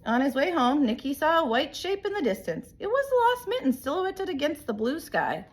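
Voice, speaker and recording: A woman reading a picture book aloud in an animated, emphatic way, like a teacher telling a story to young children. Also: high voice, moderate pace, slight background noise. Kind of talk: expressive storybook read-aloud.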